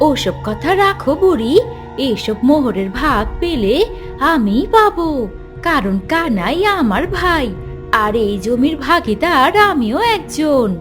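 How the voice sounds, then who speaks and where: A woman's voice: sits high, expressive, takes it slowly, a bit bright, soft background music, heavily acted. A woman voicing a greedy, scheming village woman in a cartoon, scornful and exclamatory. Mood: scornful, demanding. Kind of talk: Bengali cartoon voice acting.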